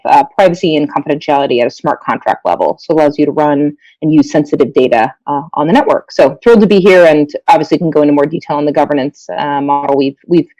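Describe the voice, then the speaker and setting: American woman on a panel call, lowish voice, lots of uhs, dry. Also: a little mellow, dry recording, poor audio. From United States. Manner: quick, explanatory, frequent uh fillers. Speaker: panel guest, chief operating officer. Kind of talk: online panel discussion.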